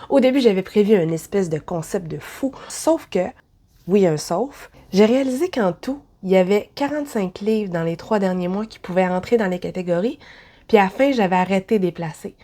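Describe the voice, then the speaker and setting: A woman with a Québécois French accent reviews books to camera, her voice stuffy from a head cold. Her timbre is soft and dark, and she talks in a chatty way with self-deprecating asides. She sounds like she is recording at home. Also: medium pitch. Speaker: book vlogger. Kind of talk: casual book review vlog.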